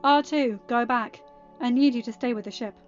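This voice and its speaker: A woman's voice: fairly high pitch, normal speed, close to an everyday voice. A woman voicing a brash, loyal young male Jedi pilot in a story reading, eager and insistent. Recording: dull, band-limited audio with background music.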